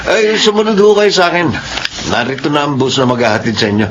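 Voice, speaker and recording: A man's voice: a little high, very animated, fast talker, dull audio. A man playing a polite, helpful health coordinator who explains things courteously in a Filipino radio drama. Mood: courteous, informative. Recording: noisy ambience behind.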